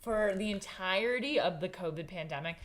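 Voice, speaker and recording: A woman's voice: animated, slight background noise. Laughing young woman hosting a chat. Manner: playful, quick to laugh, drops voice low for bits. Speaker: host. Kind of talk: casual chat.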